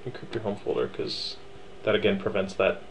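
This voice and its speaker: A man with a lowish voice talks through a software install in a loose, casual way. He thinks aloud, fills pauses with ums and drops in wry, offhand asides. A faint hiss of room ambience sits under his voice. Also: little pitch movement, vocal fry. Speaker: tutorial host. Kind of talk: screen-recorded software tutorial.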